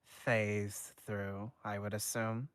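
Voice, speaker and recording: A dry, formal schoolteacher, voiced by a man in a low, unhurried tone. He stays calm and matter-of-fact. The sound is close and dead. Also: no echo.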